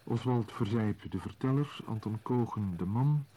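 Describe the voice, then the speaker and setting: A man's voice: on the low side, normal speed, warm tone, slight background noise. A man reading scripted credits as a radio announcer.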